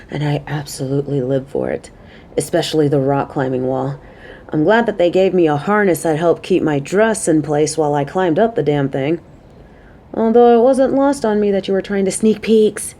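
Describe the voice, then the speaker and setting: Woman acting a playful, motherly coworker with mellow voice. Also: mid-range voice, close to an everyday voice. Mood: chatty.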